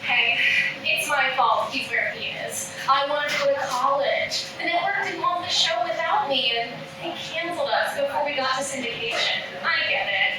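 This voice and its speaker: A woman's voice: high-pitched, normal speed, close to an everyday voice. Comedy table read: woman acting as nervy, bossy former child star, distant echoey recording, music behind. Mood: brisk, insistent. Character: nervous, insistent female former child star.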